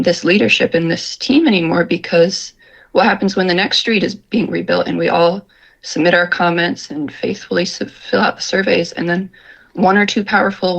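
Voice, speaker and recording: A woman's voice: lowish voice, fairly even intonation, normal speed, gravelly, slightly rough, poor audio. A woman giving critical, disillusioned public comment on a meeting call.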